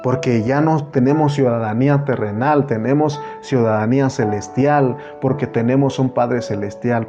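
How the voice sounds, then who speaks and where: A man's voice: medium pitch, muffled recording. A man with a Mexican accent preaching a sermon, earnest and repetitive, often calling the listener brother.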